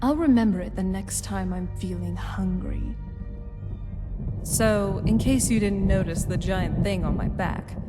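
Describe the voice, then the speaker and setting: A woman's voice: medium pitch, a little slow, close to an everyday voice. An actress playing a guarded, self-assured female vampire in a video game, talking conversationally with dry sarcasm. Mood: dry, sardonic. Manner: conversational, dryly sardonic. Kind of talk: video game dialogue acting. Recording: reverberant hall, faint music behind.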